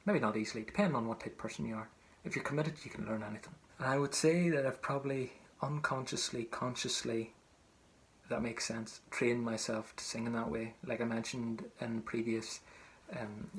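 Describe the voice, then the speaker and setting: A man's voice: mid-range voice, slight background noise, rough recording. A man with a Northern Irish accent from Tyrone gives an informal, conversational lesson as a singing teacher, explaining as he goes. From County Tyrone, Northern Ireland.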